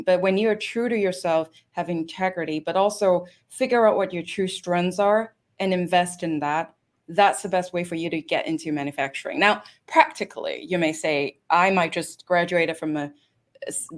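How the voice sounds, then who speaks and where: Interview guest: woman, Hong Kong accent, lower voice, earnest and thoughtful. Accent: Hong Kong English with London influence. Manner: thoughtful, earnest, with some restarts. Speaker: guest, industry director. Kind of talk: conversational interview answers.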